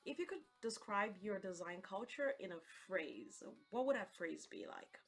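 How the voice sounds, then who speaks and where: An effusive woman works as an interview host, speaking at a medium pitch. She is chatty, encouraging and friendly with her guests. Music plays faintly alongside her voice. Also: animated, normal speed.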